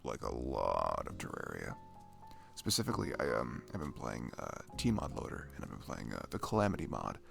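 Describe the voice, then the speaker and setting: A man's voice: very deep voice, wildly swooping pitch, moderate pace, vocal fry, fairly bright tone, faint music behind, voice pushed down, exaggerated voice. A man playing a shy, dorky best friend in an ASMR roleplay, chatting casually in a rambling, stammering, nerdy way. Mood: nerdy, excited. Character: dorky shy young male best friend, playful gamer.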